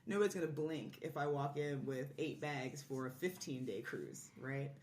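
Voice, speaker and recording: A woman's voice: rumbling low, normal speed, a little mellow. A woman talking casually as a guest on a podcast. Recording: roomy, distant mic, faint noise.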